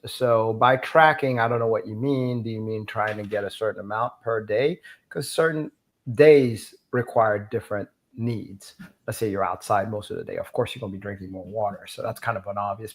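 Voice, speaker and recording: A man's voice: fairly low pitch, a bit bright. A man answering viewer questions in a casual live chat, hesitant and often repeating words.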